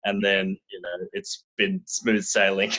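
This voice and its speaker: A young Australian man with an Australian accent, telling his story in a relaxed, casual interview, often restarting his sentences. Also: deep voice, a little slow, muffled recording. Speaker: guest.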